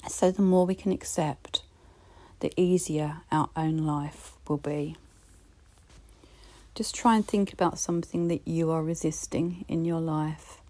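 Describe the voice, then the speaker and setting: A woman with a British accent giving a reflective solo podcast talk, gently encouraging and speaking straight to the listener. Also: low voice, fairly even intonation, unhurried. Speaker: podcast host.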